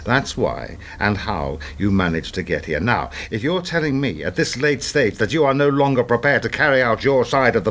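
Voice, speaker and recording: A man acts out a middle-aged fixer in a radio play, smooth and menacing. He speaks with theatrical deliberation and sardonic condescension, his pitch swinging widely as he controls those around him. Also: lightly acted.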